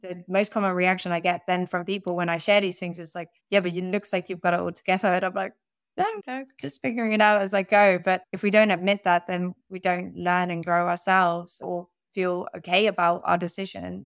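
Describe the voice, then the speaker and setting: Young Dutch-born woman guest, lowish pitch, smooth and dry, candid and self-deprecating. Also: fairly even intonation, fairly quick, dry recording. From Netherlands. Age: young adult. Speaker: podcast guest, growth advisor. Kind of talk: conversational interview.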